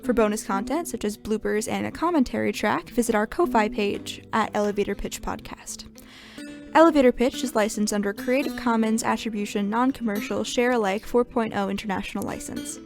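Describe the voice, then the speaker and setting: A woman's voice: a little high, brisk, gravelly, music in the background. Woman reading scripted podcast credits. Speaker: credits announcer. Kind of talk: scripted narration.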